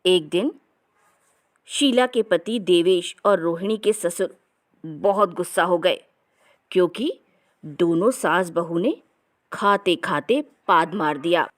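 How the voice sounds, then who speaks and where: A woman's voice: lowish voice, lively intonation, slow, long pauses. A woman narrating a scripted comic family story as a storyteller.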